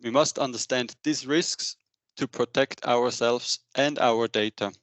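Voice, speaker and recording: A man's voice: medium pitch, steady tone, measured pace, fairly bright tone, dry recording. Man presenting a webinar, dry close sound. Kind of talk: slide presentation, semi-scripted business webinar. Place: indoor room, on a video call. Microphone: headset.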